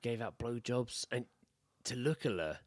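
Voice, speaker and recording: A man's voice: mid-range voice, expressive, measured pace, dry recording. Sweary British man, close dry sound. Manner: sweary, blunt, laddish. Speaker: podcast co-host. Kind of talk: casual banter, reading out stories.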